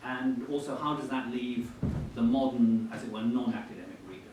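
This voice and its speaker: A man acting as interviewer at a book event, putting questions politely in halting, hesitant phrases. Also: mid-range voice, darkish tone. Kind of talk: conversational questioning before an audience. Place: indoor event hall. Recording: echoey hall, distant mic, faint noise.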